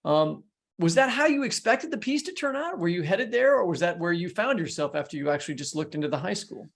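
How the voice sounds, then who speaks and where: A man's voice: high voice, very animated, a little fast. A man hosting a podcast interview, talking conversationally and working his way through long, discursive questions. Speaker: podcast host.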